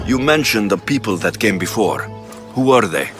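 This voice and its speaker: An actor playing a determined, skeptical young Greek warrior in a video game, terse and questioning. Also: male voice, lowish voice, big pitch swings, moderate pace. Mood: guarded, serious. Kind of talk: dramatic video game dialogue. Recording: game music under the voice.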